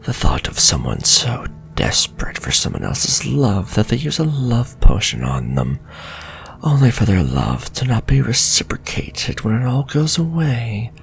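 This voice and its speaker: A man voicing a sly, sadistic warlock villain in a flirtatious ASMR fantasy roleplay, with a slow, teasing, half-whispered delivery. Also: medium pitch, huge pitch swings, vocal fry, full character voice. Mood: mocking pity.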